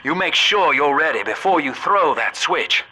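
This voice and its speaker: An actor playing a practical, plain-spoken ally who gives urgent game instructions over a radio. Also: male voice, on the high side, animated, moderate pace, background noise. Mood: urgent, steady.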